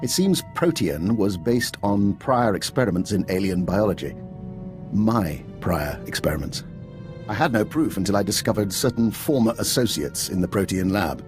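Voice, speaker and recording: Deep-voiced, calculating scientist. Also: male voice, lively intonation, normal speed, music in the background. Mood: smooth, calculating. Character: composed, calculating older male scientist, self-serving and formal. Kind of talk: video game voice acting.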